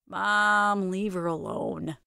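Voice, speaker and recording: A woman's voice: mid-range voice, takes it slowly, gravelly, pushed and bright, clean tone, no room sound, studio quality, exaggerated voice. A woman voicing an exasperated young husband in comic audio fiction, reproving and weary as he scolds. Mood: scolding.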